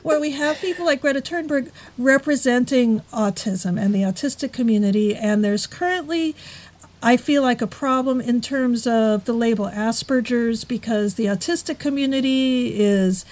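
A woman talking in a casual podcast conversation, thoughtful and measured, slowing down on key words. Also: a little high, a little mellow, poor audio.

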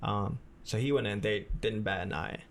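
A man's voice: lowish voice, moderate pace, creaky, a bit bright, slight background noise. A young man from Mexico, co-hosting a casual podcast and telling chatty anecdotes. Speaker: podcast co-host.

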